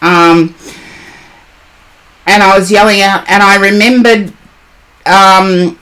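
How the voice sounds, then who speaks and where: A hoarse woman, chatting casually on a live stream as a tarot reader, greeting and answering viewers by name. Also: mid-range voice, fairly even intonation, slow, a bit bright, rough recording.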